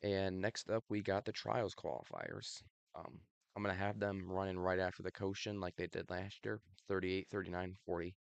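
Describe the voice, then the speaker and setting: A young man casually giving his predictions for a video, slightly raspy from allergies, with lots of ums. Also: deep voice, moderate pace, dry recording. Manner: relaxed, many ums, laughs at himself. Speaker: video host.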